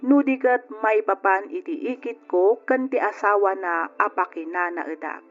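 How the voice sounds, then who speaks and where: A woman's voice: mid-range voice, moderate pace, lightly acted. A woman playing a single woman who tells her life story with an Ilocano accent, as plaintive radio drama narration. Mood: reflective. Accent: Ilocano, from the Philippines. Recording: lo-fi radio recording with music behind. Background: background music.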